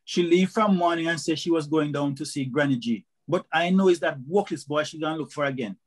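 A man's voice: on the high side, brisk, lightly acted. An actor playing a bitter, grumbling husband and father with a Jamaican accent in a radio drama. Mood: bitter, indignant.

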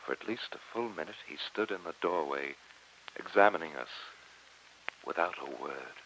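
An actor playing an earnest, dignified French professor in an old radio drama, speaking with formal, measured diction. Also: male voice, sits low, animated, a bit bright, low-quality recording. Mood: grave, awed. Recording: hissy old radio recording.

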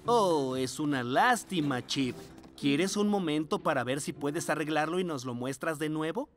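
A woman voicing a kind, patient animal schoolteacher in a children's cartoon dub, gentle and encouraging. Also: low voice, a little slow. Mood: sympathetic.

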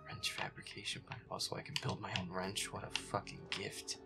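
A man's voice: low-pitched, completely flat delivery, fairly quick, gravelly, loud music behind the voice. A man playing a feisty, foul-mouthed werewolf boyfriend who mutters sarcastic commentary while gaming. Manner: sarcastic, profane, muttering to himself. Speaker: gamer playing a scary game. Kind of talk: roleplay audio gameplay commentary, muttering.

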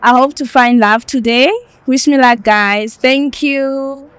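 High-voiced young South African woman. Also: fairly even intonation, slow pace, over loud music. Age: 20s. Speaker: dating show contestant.

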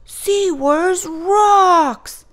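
A woman's voice: very high-pitched, extremely slow, pitched above the performer's own voice, full character voice. A woman voicing a boisterous young boy shark in a children's storybook, exclaiming dramatically and sounding easily confused. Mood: excited.

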